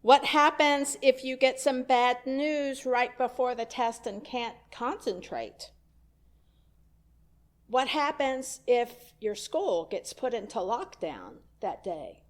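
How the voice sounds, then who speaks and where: Lady minister preaching in church, slow and animated, dry little jokes. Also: a little high. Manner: conversational storytelling with wry asides. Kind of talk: sermon. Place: church sanctuary.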